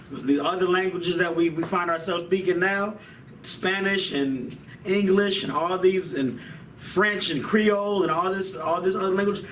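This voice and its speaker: A man's voice: high-pitched, a bit bright, roomy sound, distant mic, slight background noise, low-quality recording. A man teaching a Hebrew language class in a casual style, often tacking on "man" and "you know what I'm saying.".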